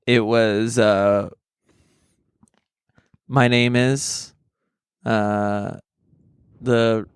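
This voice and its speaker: A man with a naturally high voice, chatting and joking crudely as a podcast co-host in casual comedic banter. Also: level tone, drawn-out words, clean tone, dry recording, studio quality.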